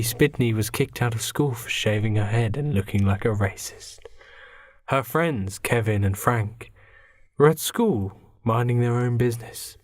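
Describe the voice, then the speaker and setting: A man's voice: mid-range voice, no echo. A man narrating a comic radio play as podcast host, in a mock-dramatic storytelling style.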